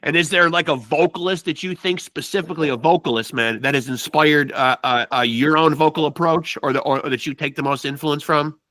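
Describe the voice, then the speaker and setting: A man's voice: a little high, steady tone, fairly quick, hardly any pauses, bright, forceful tone, no echo. A man hosting a podcast, chatting in a laid-back way and tossing "man" into nearly every sentence. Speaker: podcast host. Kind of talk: casual interview chat.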